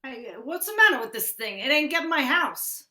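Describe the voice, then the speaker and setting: A woman playing a wisecracking, henpecked working man in a slangy, animated 1950s radio-drama style. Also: high-pitched, moderate pace, exaggerated voice. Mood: puzzled.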